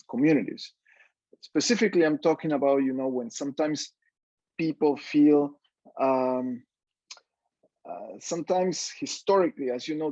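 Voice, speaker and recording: A man's voice: mid-range voice, darkish tone, slightly rough, no room sound, highs cut off. A man in his forties from Peru with a Peruvian Spanish accent, speaking Spanish as his first language, giving an earnest, reflective panel talk with many fillers and restarts. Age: 40s.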